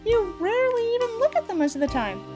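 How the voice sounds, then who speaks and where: A woman's voice: very high voice, sing-song, fast talker, music in the background, voice pushed up. A woman voicing a teenage girlfriend from a novel, teasing and amused.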